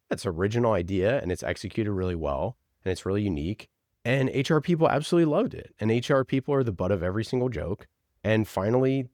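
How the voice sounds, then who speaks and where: Low-voiced man, casual storyteller. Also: darkish tone, dry recording, clean studio sound. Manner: informal, enthusiastic, peppered with "like". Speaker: podcast producer being interviewed.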